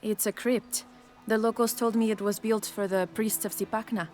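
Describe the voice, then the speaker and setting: A woman's voice: mid-range voice, steady tone, moderate pace, darkish tone, natural voice. A woman playing an earnest local woman in a video game cutscene, explaining things politely. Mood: serious. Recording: music behind game dialogue.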